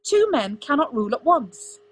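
A woman's voice: high voice, big pitch swings, normal speed, pitched above the performer's own voice. A woman voicing a proud, stubborn young crown prince with a clipped, commanding manner, in an audiobook story. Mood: exasperated.

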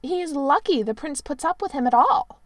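A woman's voice: high voice, lively intonation, normal speed, dry recording, voice pushed up. A woman voicing a haughty, disapproving male knight with a disparaging manner.

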